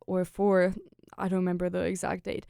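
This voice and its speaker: A woman's voice: lowish voice, completely flat delivery, normal speed, vocal fry, dark tone, clean tone, dry recording, studio quality. A young woman chatting casually as an interview guest, telling personal stories and sprinkling in "like" and "you know". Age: young adult. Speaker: guest, international exchange student.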